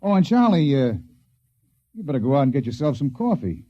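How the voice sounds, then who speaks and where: A puzzled base colonel speaks in a gruff, thoughtful way with a lively rise and fall. The old radio recording sounds dull. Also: male voice, medium pitch, brisk. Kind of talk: 1950s radio drama acting.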